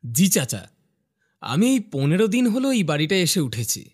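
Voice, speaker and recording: A man's voice: a little high, big pitch swings, normal speed, darkish tone, slightly rough. A man playing a curious, puzzled young office worker new to a village, musing and questioning in a horror cartoon. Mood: polite. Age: young adult. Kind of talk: horror cartoon dialogue and inner monologue. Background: faint music.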